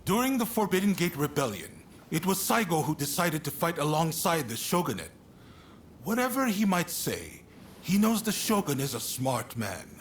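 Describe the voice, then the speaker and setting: An actor playing a shrewd, spirited samurai statesman in a video game cutscene, speaking informally and earnestly with an expressive delivery. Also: on the high side, moderate pace, slight background noise. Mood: earnest, resolute.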